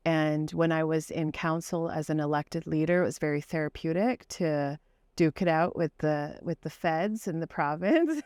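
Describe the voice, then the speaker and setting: Low smooth-voiced woman, passionate advocate talking in an interview, lots of ums. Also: darkish tone, dry recording, studio quality. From Canada. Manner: earnest, passionate, with frequent fillers. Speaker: psychologist and advocate. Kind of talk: interview conversation.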